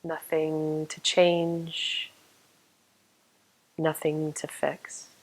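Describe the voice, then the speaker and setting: Woman, meditation teacher, low pitch, very even intonation, calm and soothing, guided relaxation with long pauses. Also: rough recording. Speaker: yoga nidra meditation teacher.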